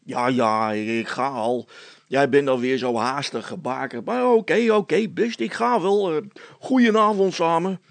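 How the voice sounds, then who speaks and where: A man's voice: mid-range voice, unhurried, vocal fry, dry recording, pitched above the performer's own voice. A man voicing a gullible, jovial village constable in a storybook, chatty and hearty, repeating himself as he rambles. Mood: obliging. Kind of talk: storybook character acting.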